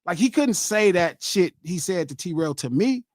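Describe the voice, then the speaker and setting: A man with a Los Angeles accent talks in an animated podcast chat. His voice sits high for a man and swings widely in pitch. The sound is dry and close. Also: measured pace, dry recording. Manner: animated, swears freely, acts out quotes. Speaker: podcast host. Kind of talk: casual chat.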